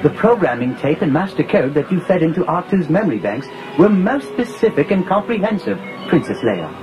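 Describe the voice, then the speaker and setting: A man's voice: sits high, big pitch swings, fairly quick, poor audio. An actor playing a prim, deferential male protocol droid with formal, pedantic speech in a 1980s audio drama. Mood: fussy, polite. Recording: music behind, radio drama production.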